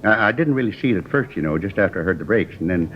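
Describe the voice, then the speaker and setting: An American actor playing a chatty, eager newspaper vendor as a shaken eyewitness in 1950s radio drama style. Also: male voice, deep voice, lively intonation, racing. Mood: rambling, shaken. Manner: rambling, repetitive, folksy. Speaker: supporting actor. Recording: dull, noisy old radio recording.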